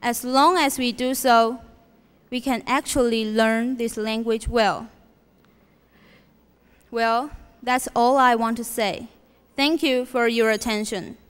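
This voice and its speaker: Taiwanese teen girl reciting a contest speech really slowly, clean voice, hall echo. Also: fairly high pitch, steady tone, clean tone. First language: Mandarin Chinese. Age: teens. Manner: slow, measured recitation. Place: school auditorium. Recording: reverberant hall.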